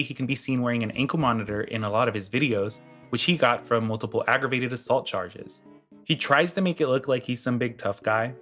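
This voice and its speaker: A man narrates a scripted commentary in a blunt, sarcastic tone. His voice sits in a middle pitch. Quiet music plays beneath him. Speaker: commentary channel host.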